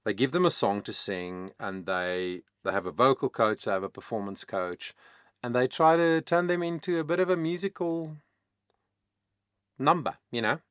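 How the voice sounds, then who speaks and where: A man whose first language is Afrikaans, a vocal coach explaining singing in a casual, chatty way with many pauses and fillers. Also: medium pitch, expressive, fairly bright tone, clean tone, no echo, clean studio sound. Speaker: vocal coach, channel host. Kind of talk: casual reaction-video talk.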